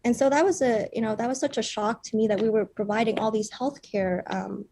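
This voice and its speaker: A young woman from Vietnam, a startup founder, gives an earnest pitch with frequent fillers. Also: steady tone, fairly quick, gravelly, mellow. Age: young adult.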